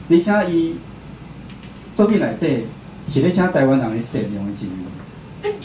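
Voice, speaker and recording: Mellow Taiwanese man speaking in an echoey room. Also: mid-range voice. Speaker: radio station director and program host giving a talk. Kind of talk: informal public lecture to an audience. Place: indoor talk venue with a live audience. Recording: echoey room, clear background noise.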